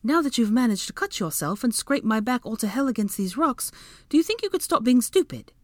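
A woman voicing a sharp-tongued, exasperated young witch in an audiobook, with cutting, sarcastic delivery. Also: fairly high pitch, very animated, brisk, no room sound, voice pushed up. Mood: dry, exasperated. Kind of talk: dramatic audiobook character dialogue.

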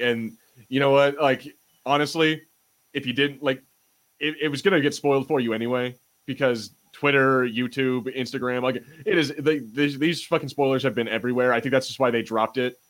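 Man, mid-pitched voice, podcast host, casual banter, informal, sweary. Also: steady tone, brisk, fairly bright tone, rough recording. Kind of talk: casual pop-culture banter.

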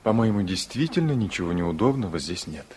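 An actor playing an impulsive, earnest, big-hearted young son with a husky voice in a 1960s Soviet radio play. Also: male voice, sits low, very animated, a little fast, darkish tone, heavily acted. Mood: cheerful, teasing. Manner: eager, insistent.

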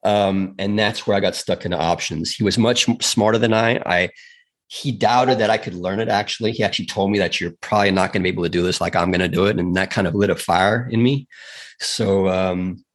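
A man's voice: sits low, fairly quick. A man from South Africa, a podcast guest telling his story in a chatty, earnest way with frequent fillers. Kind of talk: casual storytelling in a podcast interview.

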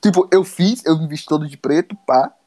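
A man's voice: fairly high pitch, brisk, warm tone, no echo. A man from Brazil telling a slangy story in a casual chat.

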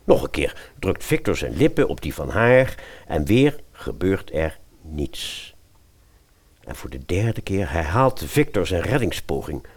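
A man's voice: deep voice, very animated, unhurried. A man narrating a radio fairy tale in a dramatic storytelling style.

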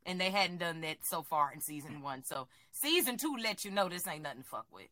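A woman co-hosting a podcast in casual chat, animated and emphatic, swearing freely. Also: lowish voice, brisk, a crowd in the background. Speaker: podcast co-host.

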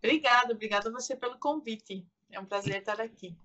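Bright, high-voiced southern Brazilian woman. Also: quick pace, lo-fi sound. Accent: southern Brazilian Portuguese. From Curitiba, Brazil. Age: 40s. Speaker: interview guest, content creator. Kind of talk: casual chat.

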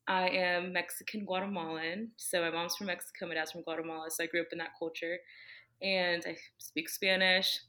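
A woman's voice: on the low side, level tone, brisk, pushed and bright. A young woman, a podcast guest, talking earnestly about her faith in a casual, rambling way with many fillers.

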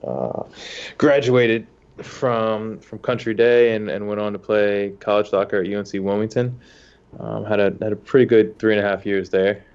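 A man's voice: lowish voice, steady tone, normal speed, a little mellow, smooth, highs cut off. A young man from the United States, a soccer goalkeeper, telling his story in a casual interview with many fillers and restarts.

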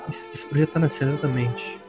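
A man's voice: mid-range voice, fairly even intonation, quick pace, over loud music. A man of twenty hosting a casual podcast from home, joking and teasing his friends. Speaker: podcast host.

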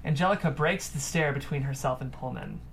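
A woman's voice: a bass voice, lively intonation, fairly quick, vocal fry, slight background noise, poor audio. A woman reading stage directions from a script as the narrator.

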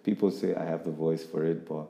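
Male podcast interviewer, deep and reflective, recorded in a reverberant room. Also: fairly even intonation, a little fast, gravelly, mellow. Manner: reflective, motivational, with frequent restarts. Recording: echoey room with long reverb.

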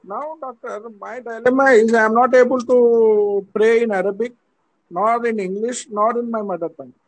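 A man from the audience asking halting, hesitant questions about prayer. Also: extremely high pitch, fairly even intonation, poor audio.

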